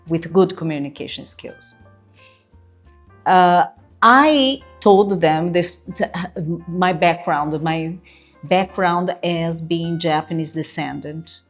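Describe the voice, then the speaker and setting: A woman with a Brazilian accent speaks in a somewhat low, unhurried voice and talks with deliberate pauses. Her native tongue is Portuguese, and she coaches in an earnest, emphatic way. Soft music plays quietly underneath. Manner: earnest, emphatic, checks in with "right?". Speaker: interview coach. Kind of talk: instructional video talk.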